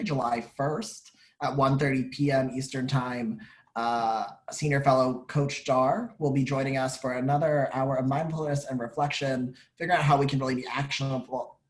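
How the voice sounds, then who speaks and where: Male organizer, brisk and businesslike, mid-range voice, reading out event details. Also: medium pitch. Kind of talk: announcements on a video call. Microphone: video call.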